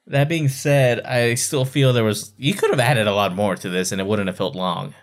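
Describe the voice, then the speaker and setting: A man's voice: mid-range voice, moderate pace. A man co-hosting a podcast, talking casually and giving his opinions on books in a conversational way. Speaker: podcast co-host. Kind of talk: casual critical book discussion.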